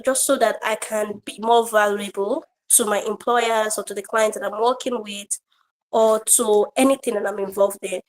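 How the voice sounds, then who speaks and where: A woman trainer hosting an online feedback session, talking casually with many fillers, her voice a bit croaky today. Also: on the high side, poor audio. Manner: warm, rambling, with fillers and laughs. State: slightly croaky voice. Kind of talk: casual online class talk. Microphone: online video call.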